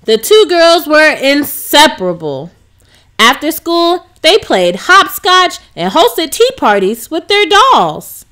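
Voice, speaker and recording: A woman's voice: high-pitched, very animated, slow pace, pushed and bright. A woman narrating a scripted children's story as a storybook reader.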